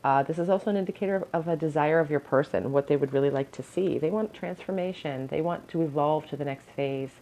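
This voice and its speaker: Low, crackly-voiced woman reading tarot. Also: fast, hardly any pauses, mellow. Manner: earnest, advising, with frequent ums and restarts. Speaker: tarot reader. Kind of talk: pick-a-card tarot reading.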